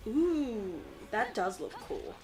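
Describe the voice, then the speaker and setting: A woman's voice: medium pitch, huge pitch swings, takes it slowly. A woman streaming a video game with casual commentary, playful and given to rambling asides to herself. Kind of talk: casual gameplay commentary, reading game text aloud.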